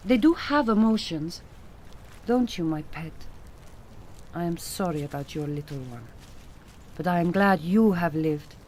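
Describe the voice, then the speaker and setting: A woman playing a grieving, frank middle-aged Hungarian vet in a radio drama, with a Hungarian accent and deliberate, formal phrasing. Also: on the low side, lively intonation, darkish tone, slight background noise. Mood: tender, sorrowful.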